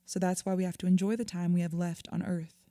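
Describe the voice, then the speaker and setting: A woman acting in an audio drama as a calm, patient therapist, speaking in a measured, reassuring, conversational way. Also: lowish voice, dry recording, lightly acted. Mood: thoughtful.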